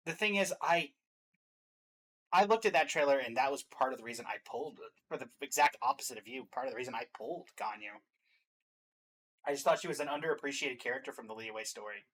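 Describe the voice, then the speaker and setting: A man hosting a casual reaction and ranking chat, opinionated, with emphatic swells that trail off quieter. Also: medium pitch, lively intonation, brisk, pauses often, low-quality recording. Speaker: reaction show host.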